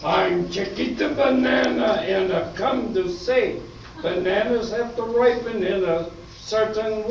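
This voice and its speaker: A man playing a banana mascot performing an advertising jingle in an amateur radio play, in an echoey room from a distance. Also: sits high. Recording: echoey, distant, muffled room recording, faint noise.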